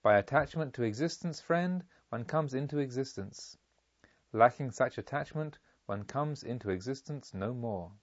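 A man's voice: medium pitch, expressive, dry recording, dull audio, natural voice. Solemn spiritual master, read by a man, dry sound. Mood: serene, assured. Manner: solemn, emphatic, rhetorical, deliberate. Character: serene revered male spiritual master teaching a disciple.

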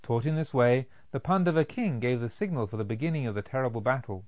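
Expressive man reading an audiobook, lively intonation. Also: medium pitch, fast, lo-fi sound. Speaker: audiobook narrator. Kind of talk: scripted literary audiobook narration.

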